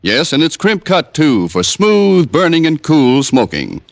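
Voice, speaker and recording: An American man pitches a product in old-time radio commercial style. His voice is on the high side and very expressive, recorded dry. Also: a little slow, no room sound. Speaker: commercial announcer. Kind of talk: 1950s scripted tobacco commercial.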